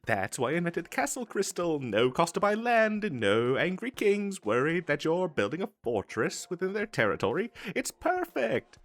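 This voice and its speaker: A man playing a wizard street vendor, very animated swooping pitch, boastful and eager, theatrical comedy roleplay, music behind. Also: gravelly, heavily acted. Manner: salesman-like, flustered stammering, dramatic emphasis. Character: eager, boastful male wizard salesman hawking magical wares.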